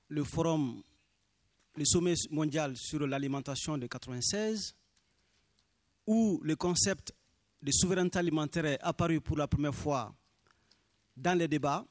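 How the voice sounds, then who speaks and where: A man's voice: a little high, dry recording. Man giving an earnest formal speech. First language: Minyanka. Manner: earnest, deliberate, emphatic on key phrases. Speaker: speaker for small farmers' movement.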